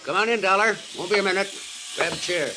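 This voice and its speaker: A man playing a smooth, playful insurance broker in a 1950s radio drama, talking in an offhand, urbane way. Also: sits high, fast, music in the background, poor audio. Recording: old radio recording, faint noise.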